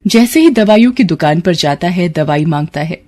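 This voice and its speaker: A woman's voice: on the low side, animated, moderate pace, dark tone. A woman narrating a scripted moral story as its storyteller. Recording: clear background noise.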